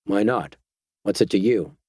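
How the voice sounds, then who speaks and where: Tense doctor on the run, male, low clipped lines, dry sound, read by a man, audiobook. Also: lively intonation, a little slow, a little mellow, slightly rough, dry recording. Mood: guarded.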